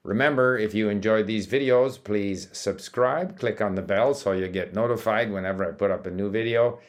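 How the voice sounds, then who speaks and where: Older guy, kinda low voice, chatting to camera about learning stuff, calm, trips over words now and then. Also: moderate pace. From Sweden, raised in Canada. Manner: relaxed and explanatory, with small hesitations and restarts. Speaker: language-learning video host. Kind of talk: casual talking-to-camera monologue.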